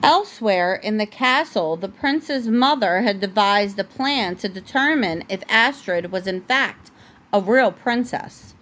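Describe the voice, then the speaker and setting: A woman's voice: a little high, a bit bright. A woman reading a storybook aloud as a parent at home, with casual asides and the odd stumble over words. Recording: noisy home recording.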